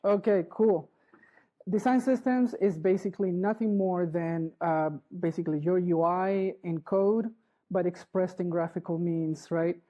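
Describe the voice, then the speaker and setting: Middle-aged woman, English, low mellow voice, halting presenter who keeps asking the room "right?". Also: steady tone, dull audio. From Chile. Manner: halting, restarts often, keeps checking in with the audience. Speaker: conference speaker. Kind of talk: live conference talk. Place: conference hall.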